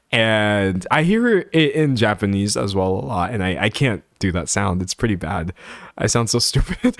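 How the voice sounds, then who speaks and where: A man's voice: mid-range voice, a bit bright, no echo, clean studio sound. A young man from San Antonio with a Texan American accent, chatting casually on a livestream, reading chat comments and laughing at himself. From San Antonio, Texas. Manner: rambling, self-deprecating, laughs often. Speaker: streamer.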